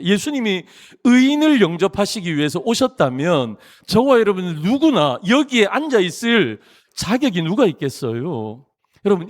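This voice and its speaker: A middle-aged man preaches in a church sanctuary, his voice sitting a little high and swinging widely as he jokes and presses his points. The long reverb of a big church hall trails every phrase. He grew up in Busan, South Korea. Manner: animated, jokey preaching with rising emphatic phrases. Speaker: pastor. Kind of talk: sermon.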